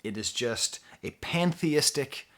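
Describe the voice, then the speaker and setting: Animated, rambling male music reviewer. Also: medium pitch, slow pace. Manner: animated, rambling, self-deprecating. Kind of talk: casual on-camera album review.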